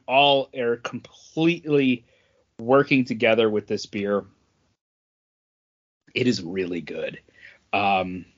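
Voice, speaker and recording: A man talking casually as a podcast co-host, halting often and pausing between short phrases. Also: mid-range voice, fairly bright tone, dull audio. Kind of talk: casual chat, informal beer tasting.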